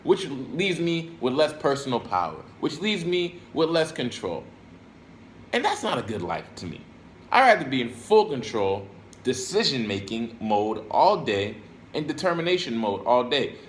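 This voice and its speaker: A man's voice: medium pitch, sing-song, unhurried. A man with a mid-range voice talks off the cuff like a self-help vlogger. He is blunt and casual, swears freely, and repeats phrases to drive them home. There is some ambient noise behind him. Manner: emphatic, repeats phrases for stress. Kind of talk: rambling motivational talk to camera.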